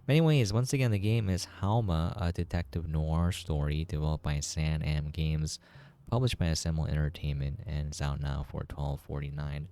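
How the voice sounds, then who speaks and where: A man's voice: on the low side, warm tone, clear, resonant tone. A man giving casual, rambling, laid-back commentary as he reviews a game.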